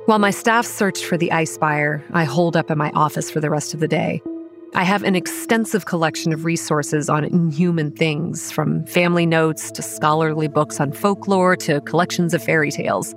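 Faint music sits behind a young female campground manager in a horror audio drama. Her voice is low and measured, telling eerie things in a pragmatic, wry, matter-of-fact way. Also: fairly even intonation. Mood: wry, weary narration. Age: young adult. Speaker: narrator and lead character. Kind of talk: first-person scripted horror narration and dialogue.

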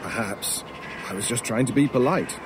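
A man's voice: medium pitch, moderate pace, natural voice. Man playing a pompous, sardonic talking crow, noisy outdoors. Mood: fastidious, amused. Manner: wordy, pompous, mockingly polite. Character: urbane, sardonic male talking crow, gleefully macabre scavenger. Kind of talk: audio drama acting. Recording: clear outdoor noise with cawing crows. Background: outdoor ambience, crows, vehicles.

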